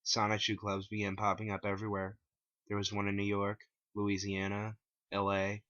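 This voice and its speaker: A man's voice: lowish voice, monotone, moderate pace, a bit bright, no room sound, lo-fi sound. A man reading his own story aloud in a dramatic first-person narration, on a plain home recording.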